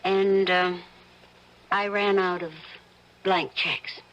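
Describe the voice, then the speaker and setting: An actress playing a troubled, gently stubborn and suspicious wife in an old-time radio drama, hesitant and searching. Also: female voice, medium pitch, little pitch movement, drawn-out words, dull audio. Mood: withdrawn, suspicious.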